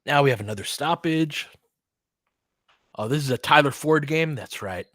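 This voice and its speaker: A man's voice: on the high side, expressive, normal speed, fairly bright tone, no echo, studio quality. A man doing casual live basketball play-by-play in short clipped phrases, with informal slang and a fan's partisan reactions. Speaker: play-by-play commentator.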